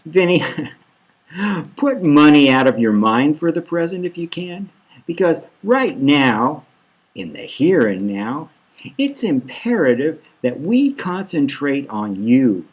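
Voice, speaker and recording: A man's voice: fairly high pitch, very animated, slow pace, husky, full character voice. A man reading a satirical novel as a smug male therapist, falsely soothing, patronising and insistent. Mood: self-satisfied.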